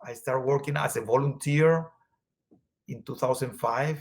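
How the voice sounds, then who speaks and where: A man from Venezuela whose first language is Spanish, speaking in a Venezuelan accent in an earnest, halting way with many fillers as an interview guest. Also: medium pitch. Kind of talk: conversational interview.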